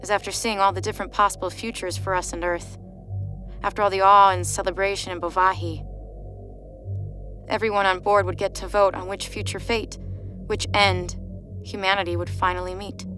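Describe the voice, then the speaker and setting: Woman narrating a wry, measured story. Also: medium pitch, little pitch movement, bright, forceful tone, soft background music.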